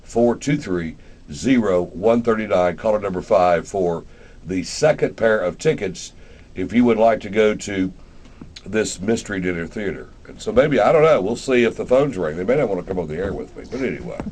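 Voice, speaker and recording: A man's voice: on the low side, animated, moderate pace, a little mellow, slightly rough. A man hosting a casual live radio interview in an informal, rambling, conversational way. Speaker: radio show host.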